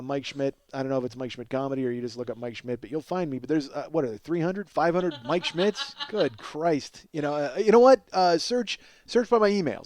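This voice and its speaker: Middle-aged male comedy podcaster, average pitch, loose and rambling, self-deprecating, salty language. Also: darkish tone, no room sound. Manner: profane, self-deprecating, exclamatory. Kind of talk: rambling casual comic monologue with plugs.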